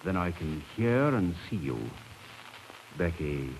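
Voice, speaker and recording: An actor playing a bluff, devoted English cavalry officer with a clipped manner in a 1950s radio drama. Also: male voice, sits low, sing-song, takes it slowly, darkish tone, heavily acted, low-quality recording. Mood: bluff, resolute. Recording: hissy 1950s radio recording.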